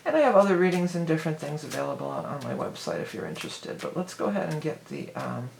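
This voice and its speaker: Hoarse, gravelly woman reading tarot. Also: low voice, very animated, fast, echoey room, background noise. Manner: rambling, many fillers and restarts. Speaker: tarot reader.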